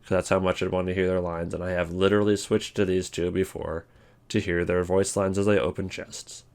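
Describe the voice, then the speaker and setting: A man's voice: low-pitched, little pitch movement, brisk, gravelly, darkish tone. A man giving casual, rambling commentary on a ranking list as a video host. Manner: rambling, informal.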